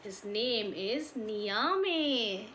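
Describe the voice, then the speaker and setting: A woman telling a folk tale to children in an expressive way, drawing out key words and putting questions to her listeners. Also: on the high side, extremely slow, a little hiss or hum. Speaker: storyteller.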